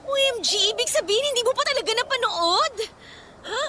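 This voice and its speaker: Very high-pitched, flustered young student. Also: female voice, expressive, a little fast. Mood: hesitant, worried. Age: young adult. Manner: hesitant, flustered. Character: flustered, fretful young female student, loyal best friend. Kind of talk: radio drama acting. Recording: clear background ambient noise.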